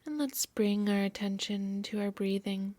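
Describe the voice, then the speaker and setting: Slow, soft-spoken woman guiding meditation. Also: medium pitch, no echo. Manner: soft, soothing, measured delivery. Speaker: yoga nidra instructor. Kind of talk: guided meditation narration.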